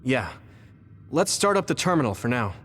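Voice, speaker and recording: Determined young game hero, animated intonation, medium pitch, music behind. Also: male voice, normal speed, big-room sound. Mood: focused. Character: earnest, determined young male squad fighter. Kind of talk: English video game dub acting. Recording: background music under the voice.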